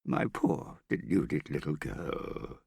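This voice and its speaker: A male narrator plays a vain middle-aged father with a gravelly, swooping voice. He talks in a pompous, affected way, wheedling and pitying himself like a character who performs every line in a storybook drama. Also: low voice, big pitch swings, moderate pace, dry recording, clean studio sound, pitched above the performer's own voice, exaggerated voice. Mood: self-pitying. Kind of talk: audiobook character dialogue.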